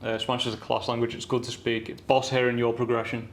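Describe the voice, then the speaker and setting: A man's voice: mid-range voice, flat delivery, fast talker, slight background noise. A man hosting a podcast, talking in an eager, chatty way full of slang. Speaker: podcast host. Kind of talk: casual chat.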